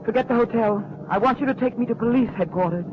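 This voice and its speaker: Actress as high-voiced, anxious young American woman. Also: fast talker, heavy background noise, close to an everyday voice. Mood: urgent, determined. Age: young adult. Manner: nervous, hesitant, then insistent. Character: frightened but determined young woman witness to murder. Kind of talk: 1940s radio mystery acting. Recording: dull vintage radio broadcast recording.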